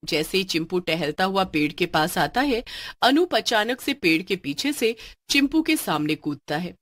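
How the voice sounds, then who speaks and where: A woman's voice: mid-range voice, sing-song, moderate pace, a bit bright. A woman reading a scripted story as its narrator.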